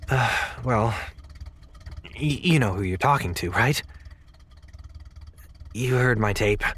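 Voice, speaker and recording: A man playing a naive, polite, awkward young man in an audio drama, earnest and hesitant with a stammer. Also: on the low side. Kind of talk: naturalistic audio drama dialogue.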